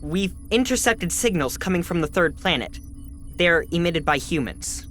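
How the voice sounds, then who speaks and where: A brisk science advisor in a sci-fi game. The voice is lively and a little low, with faint music behind. The manner is eager, explanatory and matter-of-fact. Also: female voice. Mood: intrigued. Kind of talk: video game cutscene acting.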